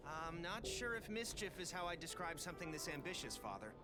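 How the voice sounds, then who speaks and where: Nerdy teen turtle, slightly high expressive voice, articulate, earnest, music behind. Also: male voice, a little fast. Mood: concerned. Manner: articulate, analytical. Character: brainy, earnest teenage turtle inventor.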